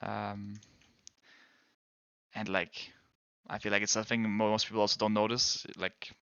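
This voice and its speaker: Danish-native male streamer, lowish voice, dry close sound, hesitant and rambling. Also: level tone, measured pace, pushed and bright, smooth, no echo, lo-fi sound. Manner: rambling, hesitant, restarts sentences. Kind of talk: casual chat with viewers while gaming.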